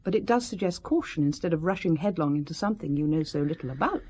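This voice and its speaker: An actress in a radio drama playing an anxious, protective, sharp-tongued secretary who is prim, frank and disapproving. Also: female voice, deep voice, expressive, quick pace, husky. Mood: suspicious.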